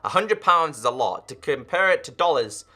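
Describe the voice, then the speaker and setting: A man's voice: fairly high pitch, very animated, normal speed, sharp tone, breathy edge. A man in his twenties, a gaming YouTuber talking earnestly and directly to his viewers. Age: 20s. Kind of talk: direct-to-camera commentary.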